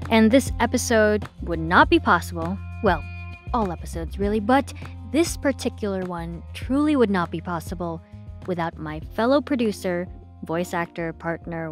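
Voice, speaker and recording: A woman's voice: mid-range voice, expressive, moderate pace, soft background music. A woman hosting a podcast, talking in a friendly conversational way. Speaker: podcast host.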